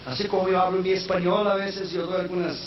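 High-voiced preacher, echoey and distant. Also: male voice, flat delivery, quick pace. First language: not Spanish. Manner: preaching that swells into shouted, sung-out peaks; slips English phrases into his Spanish. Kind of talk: sermon. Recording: echoey, distant, dull sound with faint noise.

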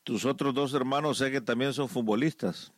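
A middle-aged man speaking as a pastor hosting a radio program, measured and instructive, like he is gently giving advice. His voice sits at an ordinary pitch and sounds close and dry, with no room around it. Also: no echo. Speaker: radio host, pastor and family counselor. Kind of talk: radio interview and family advice talk.

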